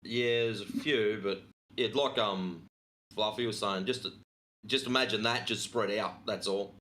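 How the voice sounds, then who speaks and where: A man from Australia with an Australian accent, speaking quietly and hesitantly in a group voice call. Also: lowish voice, steady tone, a little slow. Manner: quiet, hesitant, many ums. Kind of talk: casual group voice chat.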